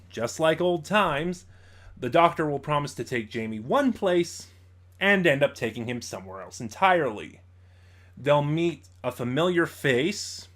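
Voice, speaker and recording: A man hosting a casual review, talking conversationally and haltingly as he thinks aloud. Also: fairly high pitch, a bit bright. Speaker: YouTube reviewer, host. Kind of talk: casual audio drama review commentary.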